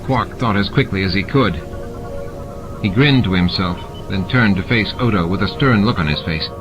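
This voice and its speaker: A man reads scripted fiction as an audiobook narrator. His voice is on the low side. Prominent music sits behind the reading, in a production dressed up with sound effects. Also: normal speed, highs cut off. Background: added music and sound effects.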